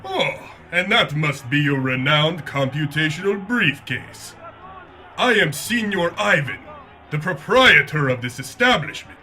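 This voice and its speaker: Grandiose fight-ring boss: high, piercingly bright, slow theatrical relish, gloating. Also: male voice, sing-song, faint music behind, heavily acted. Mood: welcoming, grandiose. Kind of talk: comic audio drama acting.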